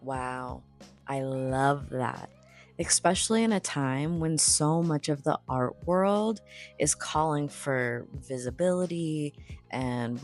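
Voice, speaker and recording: A woman podcast host giving a poetic, deliberate introduction and asking interview questions. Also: low voice. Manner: slow, deliberate, solemn delivery.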